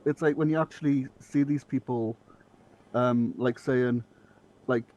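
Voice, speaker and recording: A man's voice: medium pitch, steady tone, a little slow, a little mellow, highs cut off. A man from Northeast England with a matching accent, talking bluntly in a loose, rambling way and swearing freely as a podcast guest. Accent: Northeast English. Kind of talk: casual podcast chat.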